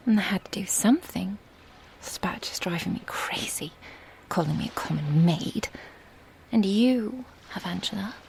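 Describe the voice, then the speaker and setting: Audio drama casting: actress as commanding female captain, irritable yet vulnerable, slow breathy delivery with sighs. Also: medium pitch, slight background noise. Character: bossy, irritable woman captain with a tender vulnerable side. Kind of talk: ASMR audio drama acting.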